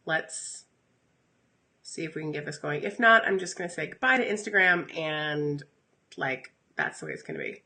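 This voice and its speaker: A woman in her thirties talking casually on a live stream, her voice a little raspy and congested from a recent illness, addressing her viewers directly. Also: low voice, sing-song, moderate pace, sharp tone. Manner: chatty and upbeat, addresses viewers directly with "you guys" and "okay?". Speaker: essential oils educator streaming live. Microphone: phone or webcam for live stream.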